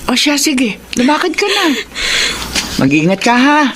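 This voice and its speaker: A woman's voice: sits high, very animated, measured pace, a bit bright, slight background noise, full character voice. Motherly older Filipina employer. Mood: caring. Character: kindly, generous older female employer. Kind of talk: Tagalog radio drama acting.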